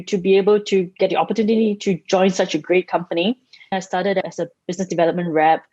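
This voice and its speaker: A woman from Singapore, a company founder, telling her career story in a podcast interview, measured with small restarts. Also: lowish voice, darkish tone, no room sound. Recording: dry, muffled, lo-fi sound.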